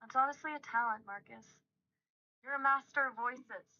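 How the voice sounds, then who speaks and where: A woman's synthetic voice replying in a polished, effusive and flattering way. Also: high voice, moderate pace. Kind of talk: conversational reply. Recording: dull lo-fi audio.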